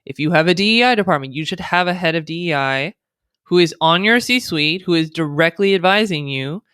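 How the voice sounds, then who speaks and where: American woman guest, measured and explanatory, clean dry recording. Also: lowish voice, lively intonation, a bit bright, smooth, no echo. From United States. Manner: thoughtful, speaks in long explanatory runs with fillers. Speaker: guest, diversity consultant. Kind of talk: conversational interview.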